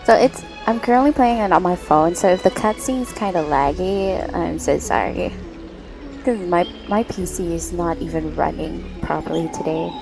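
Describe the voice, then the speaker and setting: A woman livestreaming a story game, reacting casually and excitedly with gushing, giggly fangirl comments. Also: on the low side, normal speed, vocal fry, over music.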